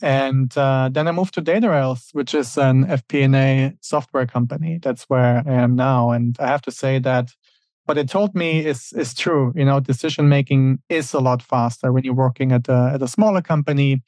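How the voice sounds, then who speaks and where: A man's voice: mid-range voice, steady tone, moderate pace, a little mellow, dry recording. German-raised man, dry close sound. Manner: thoughtful, many fillers and restarts. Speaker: podcast guest. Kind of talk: casual interview answers.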